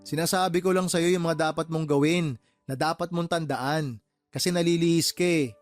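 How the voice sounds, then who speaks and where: Actor playing a firm, fair-minded uncle boss. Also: male voice, a little high, steady tone, normal speed, faint music behind, close to an everyday voice. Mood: admonishing. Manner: admonishing, reasoning. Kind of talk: Tagalog radio drama acting.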